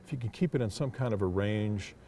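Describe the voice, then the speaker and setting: An older man, a public address announcer, reflecting in an interview in a deliberate, hesitant way with formal wording. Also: fairly low pitch, dark tone, slight background noise.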